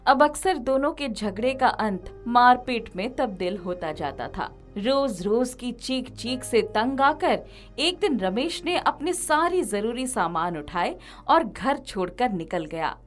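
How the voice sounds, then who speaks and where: A woman narrating a scripted moral story as its storyteller. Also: on the high side.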